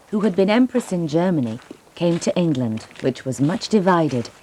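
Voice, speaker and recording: A woman narrates history and reads a personal letter in a soft, unhurried storytelling manner. Her voice sits fairly low and has a dark, mellow tone, with faint background noise underneath. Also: low-quality recording.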